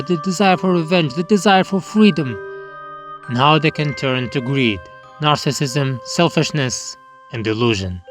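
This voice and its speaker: A man with a slightly high voice reads a scripted review as a host. He drops in comic asides. Music plays behind him. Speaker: manga review YouTuber, narrator.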